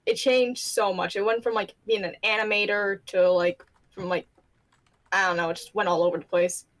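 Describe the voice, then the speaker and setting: A young woman talks casually about how she got into voice acting. She rambles a little and giggles between thoughts. Her pitch sits in the middle range. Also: steady tone, moderate pace, a bit bright. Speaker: hobby voice actor panelist. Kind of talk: casual panel chat.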